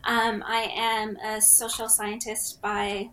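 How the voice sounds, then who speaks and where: A young adult woman, talking thoughtfully about herself in a casual, measured way. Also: medium pitch, completely flat delivery. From Canada, born in Egypt. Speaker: roundtable guest, PhD researcher. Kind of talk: casual self-introduction. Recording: faint background noise on online call.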